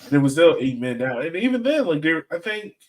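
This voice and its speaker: A man's voice: fairly high pitch, very animated, brisk, a little mellow. A man hosting a fan podcast, chatting casually about soccer in a rambling, colloquial, conversational way. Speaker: podcast host.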